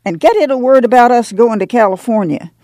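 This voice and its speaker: A reader voicing a shrill, pious, spiteful old grandmother. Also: animated, fairly quick, darkish tone, no room sound, clean studio sound, lightly acted. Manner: shrill, clamoring.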